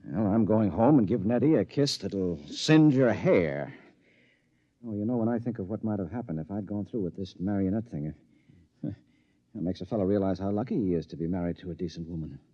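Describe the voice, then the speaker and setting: An actor playing a take-charge suburban husband in a 1950s radio drama, emphatic, wry and sentimental. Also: male voice, on the low side, expressive, brisk, dark tone, dry recording. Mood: amazed, brisk. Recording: dull dry vintage radio recording.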